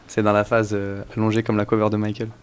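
Young male guest, low register, slangy, rambly. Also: completely flat delivery, fast, clean tone. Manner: rambling, slangy, full of fillers and restarts. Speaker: musician guest. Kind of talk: casual interview chat.

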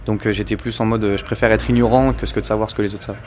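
Rambling, low-voiced young man. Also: level tone, rapid-fire, a little mellow, slight background noise. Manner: rambling, slangy, full of fillers and restarts. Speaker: musician guest. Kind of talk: casual interview chat.

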